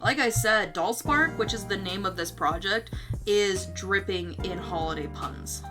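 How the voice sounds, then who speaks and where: A woman's voice: medium pitch, unhurried, music in the background. A woman chatting casually as a livestream host, with a giggly, rambling manner and some casual swearing. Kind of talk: casual livestream chat about own story notes.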